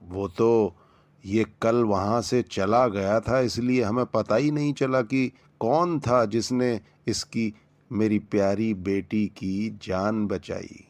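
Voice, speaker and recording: A man playing a kindly, grateful doctor in an animated story, speaking in a measured, reassuring manner. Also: on the low side, no echo.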